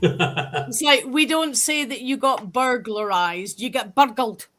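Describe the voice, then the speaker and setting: A woman's voice: on the high side, animated, slow, fairly bright tone. Scottish woman telling folklore. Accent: Scottish, northeast coast. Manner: storytelling, explains local dialect. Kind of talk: casual group chat.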